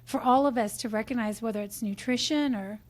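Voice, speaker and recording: A woman's voice: a little high, a little fast. A woman in her forties hosting an interview, enthusiastic and affirming, laughing often as she talks. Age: 40s. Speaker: podcast host. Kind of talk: casual interview chat.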